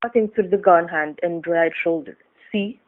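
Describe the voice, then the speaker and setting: A dry, tinny old radio recording of a man playing a young policeman. He is brisk, breezy and slangy, admiring of his older relatives, in classic crime drama style. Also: a little high, no room sound, natural voice. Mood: pleased, wry. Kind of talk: vintage radio drama acting.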